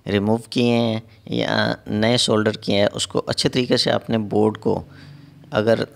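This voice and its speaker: A man's voice: fairly low pitch, flat delivery, a little slow, vocal fry. A man narrating a step-by-step repair tutorial as an instructor, in a methodical way with repetitive phrasing.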